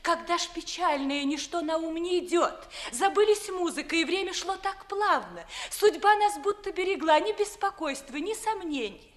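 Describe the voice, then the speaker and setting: A woman's voice: extremely high pitch, expressive, moderate pace, hardly any pauses. An actress playing a headstrong, dreamy young noblewoman in love, declaiming verse in 1950s theatrical radio style. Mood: defiant, wistful.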